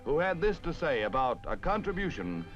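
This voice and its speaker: Animated 1950s American newsreel announcer, male. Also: fairly high pitch, brisk. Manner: punchy, dramatic announcer delivery. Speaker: newsreel narrator. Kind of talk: 1950s newsreel scripted narration. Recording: dull vintage newsreel soundtrack with music.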